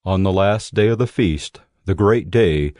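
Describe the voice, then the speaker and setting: A man reading scripted narration for a dramatized audio Bible in a measured, solemn way. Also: low-pitched, darkish tone, dry recording, studio quality. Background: music.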